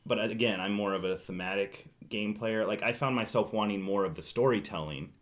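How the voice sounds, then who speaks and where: A man's voice: on the low side, fairly quick. A man hosting a casual board game discussion, jokey and teasing as he explains things. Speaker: podcast host.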